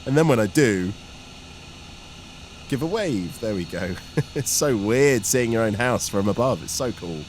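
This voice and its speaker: A man talks through a flight for a vlog in a slightly low voice. His delivery is informal and keen, like a student pilot sharing the experience. Aircraft engine noise sits behind his words. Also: lively intonation, unhurried, pauses often. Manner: informal, enthusiastic.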